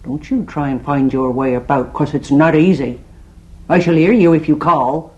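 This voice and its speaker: An actress playing a stern, suspicious country innkeeper, blunt and formal, in a farce. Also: female voice, deep voice, normal speed, mellow, lo-fi sound, natural voice. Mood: strict, suspicious. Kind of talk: theatrical farce acting.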